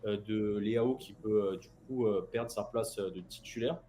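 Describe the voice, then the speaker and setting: An Italian-French man moderating a casual football discussion, asking relaxed, filler-heavy questions. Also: fairly low pitch, unhurried, a little hiss or hum. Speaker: host and moderator.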